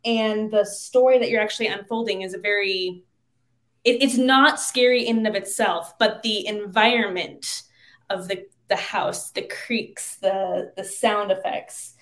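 A woman's voice: medium pitch, steady tone, measured pace, fairly bright tone. A woman in a casual podcast chat, explaining things in a slightly hesitant way. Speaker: podcast guest. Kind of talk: casual chat about video games.

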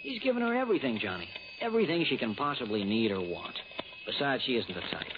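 An American actor playing an exasperated, scoffing insurance agent who frets over business, in a 1960s radio drama. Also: male voice, mid-range voice, very animated, fast talker, close to an everyday voice. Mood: dismissive. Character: exasperated, dismissive male insurance agent, fretting over premiums. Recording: muffled, noisy old radio recording.

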